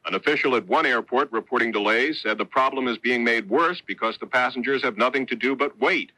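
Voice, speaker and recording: American guy reading old 70s radio news, crisp bright tone, dry studio sound. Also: mid-range voice, a little fast, dry recording, low-quality recording. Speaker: network radio news reporter. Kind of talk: scripted 1970s radio newscast. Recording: old 1970s radio broadcast.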